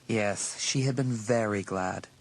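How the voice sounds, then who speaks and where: A man's voice: lowish voice, unhurried. A man giving a dramatic, scripted narration of an audiobook story.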